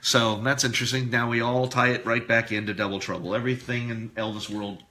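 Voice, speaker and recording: A man presenting a live radio show, talking casually and a bit haltingly through history notes. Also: mid-range voice, steady tone, sharp tone, slight background noise.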